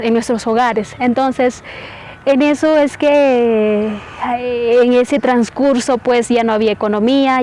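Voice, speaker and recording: Woman, flat delivery, kinda hesitant explaining, lots of tag questions, some room noise. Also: on the high side. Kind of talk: informal explanatory presentation.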